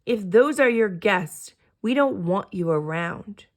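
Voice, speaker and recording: A woman's voice: medium pitch, animated, slow pace. A woman voicing snooty, disapproving moose in a children's storybook. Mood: indignant.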